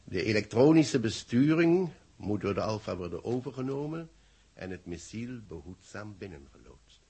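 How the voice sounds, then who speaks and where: Deep, husky, solemn man in radio drama. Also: expressive, warm tone. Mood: solemn, tense. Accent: Dutch. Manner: solemn, theatrical, deliberate declamation. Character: grave senior man dramatically dictating space bulletins to his assistant. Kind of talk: 1960s radio drama acting.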